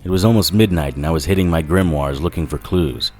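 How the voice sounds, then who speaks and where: An actor playing a sardonic 1940s detective who narrates a noir audio drama and trades wisecracks. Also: male voice, rumbling low, quick pace, soft background music. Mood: grim, weary. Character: wry, hard-boiled 1940s male occult detective narrator.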